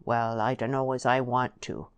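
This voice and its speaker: A woman's voice: very deep voice, little pitch movement, normal speed, smooth, dry recording, pitched below the performer's own voice. A woman voicing a brash, scheming countryman in a storybook, blunt and emphatic, with a rural English dialect. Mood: solemn.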